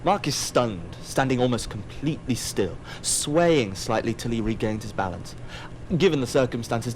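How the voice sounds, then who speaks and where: A man narrates an audio drama in a middle-pitched voice. His delivery is wry and theatrical, with faint background noise beneath it.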